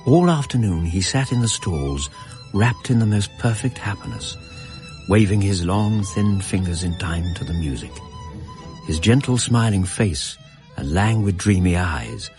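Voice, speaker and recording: Reflective English gentleman storyteller, very low voice, animated intonation, literary radio drama narration, measured delivery. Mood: fond, admiring.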